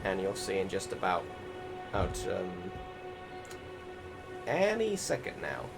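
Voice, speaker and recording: Loud music plays behind a man with a fairly low voice. He gives casual gameplay commentary as a voice-over, rambling and feeling for his words. His language is informal, with the odd swear word. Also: wildly swooping pitch, takes it slowly, vocal fry. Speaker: game video commentator.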